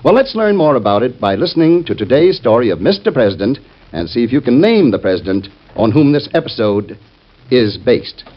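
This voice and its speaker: A man's voice: medium pitch, sing-song, a little fast. Male American announcer for vintage radio. Folksy, rhetorical, widely swinging intonation, dull old transcription sound. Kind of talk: scripted old-time radio narration.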